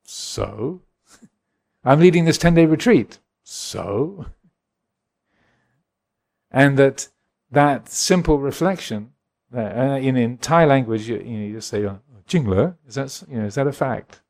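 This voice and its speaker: A man in his sixties from England, a Buddhist monk giving a gentle, reflective dharma talk and answering questions at a retreat. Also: medium pitch, expressive, slow, gravelly. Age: 60s. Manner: gentle, reflective, chuckles often.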